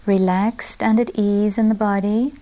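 A woman meditation teacher leading a guided meditation in a gentle, unhurried way with long pauses. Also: medium pitch, steady tone. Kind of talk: guided loving-kindness meditation.

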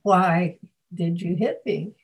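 A woman's voice: on the low side, steady tone, slow pace, creaky. A woman taking part in a group discussion, speaking casually and reflectively as she asks and answers questions. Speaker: group participant asking questions.

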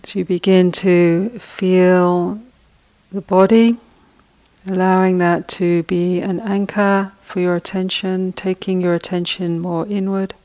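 Slow, even-toned woman guiding meditation. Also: fairly low pitch. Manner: slow, soft, gently paced instructions with long pauses. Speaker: meditation teacher. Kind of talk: guided meditation.

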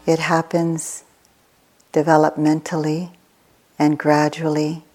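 A woman teaching a guided meditation in short, measured, soothing phrases with long pauses between them. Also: low-pitched, monotone, darkish tone.

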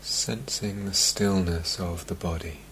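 A man with a low voice leads a guided meditation. His delivery is very slow, calm and gentle, broken into short phrases. A steady background noise with a slight hum sits under the recording. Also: low-quality recording. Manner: calm, gentle, unhurried with long phrasing gaps. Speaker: meditation teacher. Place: meditation retreat talk, recorded live.

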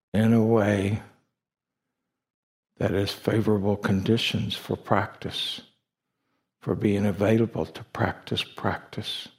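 A man leading a guided meditation as a teacher, speaking deliberately in short phrases with long pauses between them. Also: fairly low pitch, creaky. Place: meditation retreat hall.

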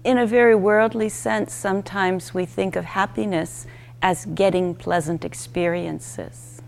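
Woman, meditation teacher, gentle spaced instruction, very slow pace, creaky voice, guided meditation. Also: mid-range voice, steady tone. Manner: unhurried, gentle instruction with short spaced phrases.

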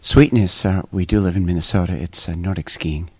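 An older man reflectively sharing what meditation means to him, in a gentle manner. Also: deep voice, steady tone, brisk, slight background noise. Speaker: meditation group member. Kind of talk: personal sharing in a group.

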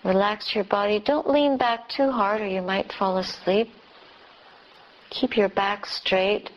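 A woman teacher leading a beginner's guided meditation, giving calm, gentle instructions with long pauses. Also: medium pitch, muffled recording. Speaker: Buddhist nun teaching meditation.

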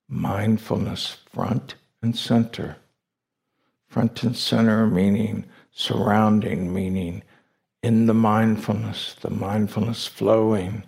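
A man guides a meditation at a very slow pace, his voice heavily creaky with fry. He sits a little low in pitch and sets out short phrases deliberately, leaving long pauses between them. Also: darkish tone. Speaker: meditation teacher.

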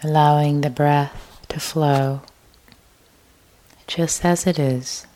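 Woman, guided meditation, very deep voice, unhurried soft delivery, whispery at times. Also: steady tone, a little hiss or hum, low-quality recording. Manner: slow, soft, gentle guidance with long pauses; drifts into an unvoiced whisper at times. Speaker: meditation teacher.

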